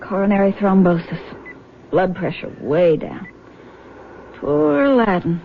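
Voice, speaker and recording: A slightly dull vintage radio drama recording with faint noise. a brisk, concerned grown daughter. Her mid-pitched female voice is earnest and direct. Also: very animated, vocal fry. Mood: grave, gentle. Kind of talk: 1970s radio drama acting.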